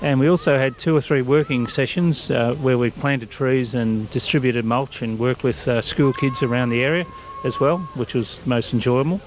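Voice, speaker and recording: A man's voice: medium pitch, normal speed, a little hiss or hum. An Australian man, a club president, answering a news interview in a plain, unpolished way with some fillers.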